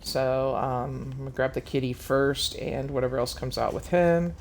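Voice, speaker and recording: A man calmly explaining tactics step by step as he leads a group of players through a game on stream. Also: mid-range voice, moderate pace, a little hiss or hum. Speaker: raid leader.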